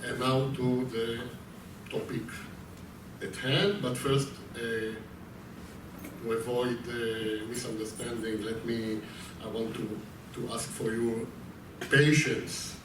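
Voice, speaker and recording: A man with a heavy Israeli accent gives a lecture, pausing often with fillers and starting phrases over. His voice sits at a middling pitch and carries from a distance across an echoey hall with steady background noise. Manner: halting, with frequent fillers and restarts. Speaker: visiting lecturer. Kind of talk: academic lecture. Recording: echoey hall from far off, noisy.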